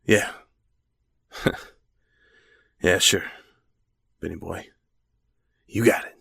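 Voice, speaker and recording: An actor playing a shady, conspiratorial accomplice to murder in a horror audio drama. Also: male voice, sits low, fairly even intonation, extremely slow, gravelly, a bit bright, breathy edge, no echo, heavily acted. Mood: mocking defiance.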